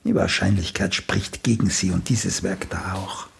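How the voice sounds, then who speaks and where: A man's voice: sits low, fairly even intonation, moderate pace, vocal fry, a little hiss or hum. An older male actor playing a blunt, vain, once celebrated playwright in a German radio play, opinionated and sardonic in manner. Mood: stubborn, cutting. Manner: opinionated, sardonic, tags questions with "gell".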